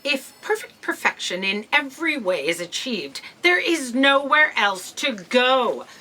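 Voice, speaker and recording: Here is a woman acting as a commanding female editor-in-chief from an audio drama. Her voice is high and bright, with a strongly varied melody. She pronounces everything formally, as one used to being obeyed. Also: big pitch swings, measured pace, heavily acted, low-quality recording. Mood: stern, lofty.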